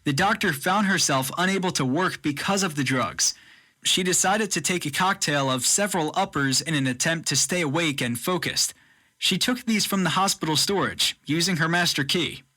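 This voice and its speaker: A man's voice: fairly high pitch, pushed and bright. An actor playing a male news journalist, giving a serious scripted broadcast report in an audio drama.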